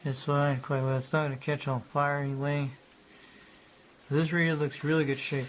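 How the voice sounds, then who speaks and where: A man's voice: a little high, little pitch movement, normal speed, a little hiss or hum. A man narrating casually as he shows off an old radio, rambling and repeating himself. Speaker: hobbyist showing a radio. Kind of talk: casual show-and-tell narration.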